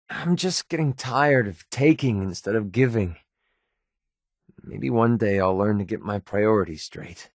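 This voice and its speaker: Wry young American mercenary, dry sound. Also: male voice, lowish voice, no room sound. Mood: earnest. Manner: casual, sardonic, grumbling. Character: wry young male mercenary sniper in a post-apocalyptic wasteland. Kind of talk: video game companion dialogue.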